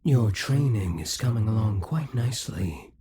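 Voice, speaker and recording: A man voicing a possessive, scheming demon king in an audio roleplay, speaking slowly and condescendingly. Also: lowish voice, expressive, warm tone, a little hiss or hum, lightly acted. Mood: brooding. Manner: condescending, slow and musing.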